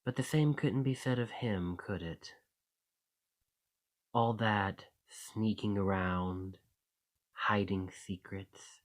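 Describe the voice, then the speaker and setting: Slow, low-voiced possessive shapeshifter played by a man. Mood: insinuating, coaxing. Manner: coaxing, deliberate, softly menacing. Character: sinister possessive male shapeshifter monster, coaxing and menacing. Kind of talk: ASMR roleplay, intimate dramatic monologue.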